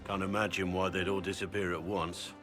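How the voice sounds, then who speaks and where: A seasoned warrior and recruiter from a fantasy game, played by a male actor. His voice sits on the low side, blunt and earnest, with plain-spoken gruffness. Music plays lightly behind him. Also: level tone, a little fast, natural voice. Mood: guarded, matter-of-fact. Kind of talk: dramatic fantasy game dialogue. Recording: background music under the voice.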